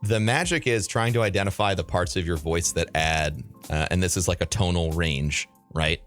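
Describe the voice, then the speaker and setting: A man hosting an advice podcast, with a mid bassy voice, talking loosely with fillers and swearing. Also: normal speed, fairly bright tone, soft background music. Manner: loose, profane, thinks aloud with fillers. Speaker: podcast host. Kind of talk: casual advice podcast chat.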